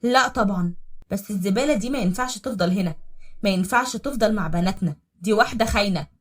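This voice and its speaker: A woman's voice: medium pitch, fast, far from the mic, close to an everyday voice. A woman with an Egyptian accent voicing an angry, jealous husband who hurls harsh accusations. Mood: cold, curt. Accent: Egyptian Arabic. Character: angry jealous husband, middle-aged man.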